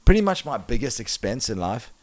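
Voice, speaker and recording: A man's voice: medium pitch, big pitch swings, fairly quick. A man with a South African accent hosting a podcast in casual, swearing chat. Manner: chatty, swears casually, enthusiastic. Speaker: podcast host.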